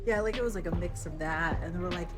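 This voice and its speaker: A woman's voice: fairly low pitch, quick pace, over loud music. A woman chatting casually as a travel vlogger. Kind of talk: casual vlog chat.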